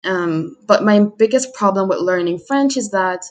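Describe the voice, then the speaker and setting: A woman's voice: mid-range voice, normal speed. A young adult woman chatting casually and self-deprecatingly, as a livestream host introducing herself. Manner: self-deprecating, chuckles while talking. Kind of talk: casual chat monologue.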